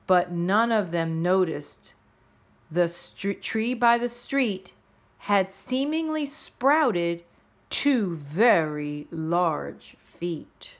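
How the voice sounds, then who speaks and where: A woman reading a rhyming children's story aloud in a measured, expressive storytelling manner. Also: fairly low pitch. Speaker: storybook reader. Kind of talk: children's read-aloud narration in rhyme.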